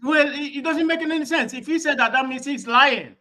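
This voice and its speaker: A man's voice: very high-pitched, fairly quick, pushed and bright. A man on a call-in broadcast who talks bluntly and rambles, filling his speech with "you know". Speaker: caller on a live broadcast. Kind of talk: heated call-in debate.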